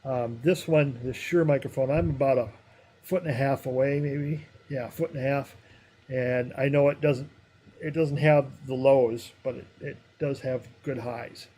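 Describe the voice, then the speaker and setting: A man chatting casually while live-streaming a game, rambling with many fillers, with a somewhat high, harsh voice. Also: fairly even intonation, moderate pace, mellow, husky. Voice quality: higher, harsher voice.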